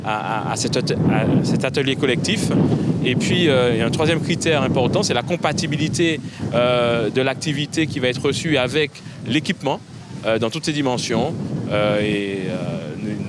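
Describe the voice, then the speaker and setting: Halting man over loud outdoor noise. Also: mid-range voice. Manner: halting, hesitant, searching for words. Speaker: project official. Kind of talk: explanatory interview.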